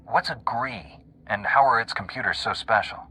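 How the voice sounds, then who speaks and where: A man's voice: medium pitch, moderate pace, sharp tone, slightly rough, rough recording. A man playing an earnest, steadfast young Jedi hero in a video game, composed and direct. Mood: calm, curious.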